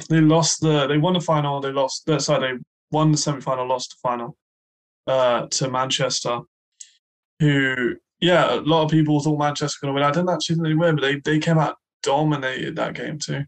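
A man co-hosting a casual sports podcast, chatty and quick to laugh. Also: on the high side, steady tone, poor audio. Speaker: podcast co-host.

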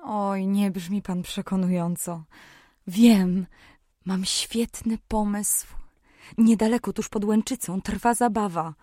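An actress playing a kind, sociable young apothecary's daughter in a period radio drama, polite and gently coaxing. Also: female voice, mid-range voice, fairly even intonation, takes it slowly, no echo, studio quality. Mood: cheerful, coaxing.

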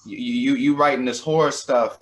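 A man hosting a casual interview, stammering and circling his way toward each question in a halting manner. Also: medium pitch, steady tone, a bit bright, a little hiss or hum. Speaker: interview show host.

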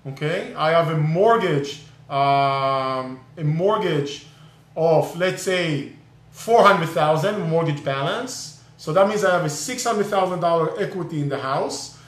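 A man whose first language is Hebrew hosts a casual online talk on real estate investing. He talks in a slightly raised voice, stops and restarts often, and fills gaps with "uh" and "um". The sound is roomy and echoey. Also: fairly high pitch. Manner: halting, many fillers and restarts. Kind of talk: live online session, casual explanation. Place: California.

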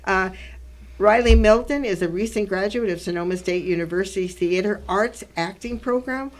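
A woman's voice: medium pitch, moderate pace, low-quality recording. Older female radio host, mid-range voice, chatty and effusive, interviewing guests in studio. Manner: effusive, exclaiming.

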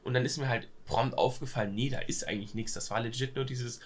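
Young dude w a cold, podcast chat, lots of ums and slang. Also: male voice, mid-range voice, steady tone, fast, breathy edge. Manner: hesitant, many fillers, youth slang. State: has a cold. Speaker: podcast co-host. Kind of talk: casual chat.

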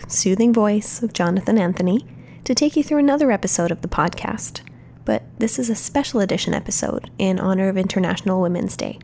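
Podcast host lady, friendly scripted read, warm low tone, bit of vocal fry. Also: a little hiss or hum. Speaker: podcast host from a communications team.

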